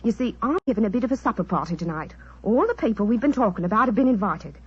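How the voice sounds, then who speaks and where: A woman's voice: mid-range voice, lively intonation, fast, slightly rough. A woman playing a breezy, frank chorus girl turned island queen, chatty and candid, in 1940s radio style. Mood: coy, hopeful. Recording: dull vintage 1940s radio recording.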